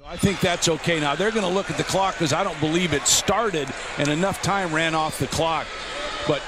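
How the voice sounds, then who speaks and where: A man giving live basketball analysis in an instructive, coach-like way, as on a courtside broadcast. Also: on the high side. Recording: noisy live arena broadcast.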